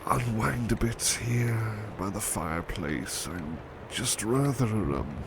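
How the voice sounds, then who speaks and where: Gentle, affectionate butler played by a man for ASMR roleplay; low, expressively varied delivery, hesitant and stammering, over clear background noise. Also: lively intonation, slow pace, exaggerated voice. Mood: weary, flustered. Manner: polite, hesitant, stammering, tender. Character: gentle, devoted, flustered male butler, tired and affectionate. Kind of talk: intimate ASMR roleplay, soft-spoken.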